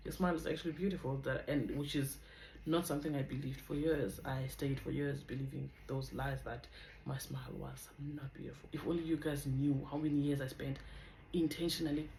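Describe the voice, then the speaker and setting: A young woman with a South African accent and a deep voice, chatting in a rambling, casual way as she shares a personal testimony on her vlog. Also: fairly even intonation, a little fast, vocal fry, warm tone, roomy sound, slight background noise, poor audio. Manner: chatty, rambling, drifts off topic. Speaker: vlogger.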